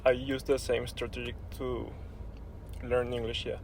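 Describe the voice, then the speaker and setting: A man's voice: mid-range voice, level tone, measured pace, gravelly, darkish tone, slight background noise. A young adult man from Venezuela, a native Spanish speaker, giving brief casual answers as a podcast guest.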